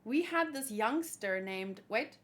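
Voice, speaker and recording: A woman with a fairly high voice hosts a manga read-along. She moves between reading lines aloud and chatting casually about them as an animated fan. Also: slow pace. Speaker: host reacting to a manga chapter read-along.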